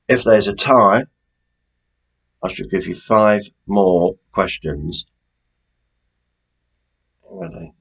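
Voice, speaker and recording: A man's voice: fairly low pitch, big pitch swings, extremely slow, gravelly, long pauses, a bit bright, husky. A British man presenting a quiz in a chatty, informal way, as the host reading out questions.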